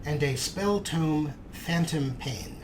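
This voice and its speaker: A man's voice: fairly high pitch, takes it slowly. A man hosting a let's play with casual gameplay commentary. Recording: clear background noise from game.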